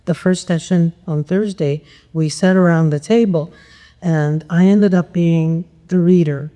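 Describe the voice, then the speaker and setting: A woman from Canada chattily reporting on a workshop at a conference, with a loud, enthusiastic voice. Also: lowish voice, fairly even intonation, measured pace. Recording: long hall reverb.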